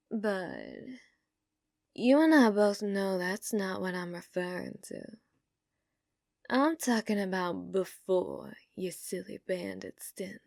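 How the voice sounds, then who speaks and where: Casting: actress as possessive yandere bounty hunter for ASMR roleplay; female, unhurried, sultry, dominant, slipping into whispers, close dry sound. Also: mid-range voice, a little mellow, dry recording. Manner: slow, taunting, sultry, often dropping into whispers.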